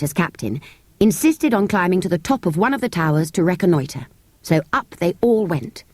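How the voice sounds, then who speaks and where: A woman with a British accent narrates a children's story in an expressive, measured storytelling manner. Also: mid-range voice, no room sound. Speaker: audiobook narrator. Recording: close, dry cassette tape recording.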